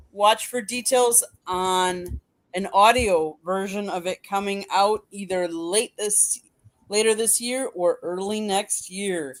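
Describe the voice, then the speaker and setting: A woman co-host reads out the show's announcements at a steady pace. Her pitch sits in the middle, and her tone is plain and clear. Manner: steady, reads out plugs and contact details. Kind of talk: reading show announcements.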